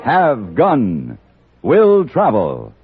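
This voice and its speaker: A man's voice: medium pitch, wildly swooping pitch, extremely slow. A man announcing an old-time radio show in a declamatory, portentous style. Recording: muffled old radio recording. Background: brass music.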